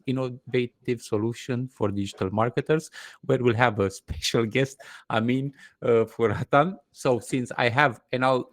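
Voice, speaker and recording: A man whose first language is Romanian, hosting and moderating an online panel in a relaxed, organized way over a video call. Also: mid-range voice, dry recording. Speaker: webinar host and moderator.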